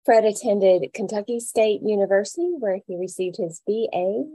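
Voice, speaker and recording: A woman co-hosting an education podcast, opening the show and reading out a guest's introduction in a measured way. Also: medium pitch, warm tone, no echo. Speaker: podcast co-host, English education professor.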